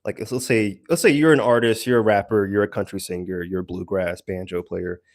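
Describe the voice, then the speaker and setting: Low-voiced man rambling casually. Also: steady tone, a little fast, no room sound, clean studio sound. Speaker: solo creator giving a brand update. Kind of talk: casual rambling audio log.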